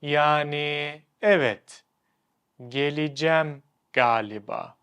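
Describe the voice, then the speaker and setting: A young man, a native Turkish speaker, giving a scripted online lesson as a teacher, explaining clearly and slowing down to spell out example phrases. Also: a little high, fairly bright tone.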